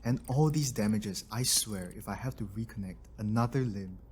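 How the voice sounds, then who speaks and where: A man playing a grumbling but soft-hearted robotics engineer in a scripted audio drama. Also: fairly low pitch, moderate pace, a little hiss or hum, close to an everyday voice. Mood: exasperated. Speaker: voice actor in an audio roleplay.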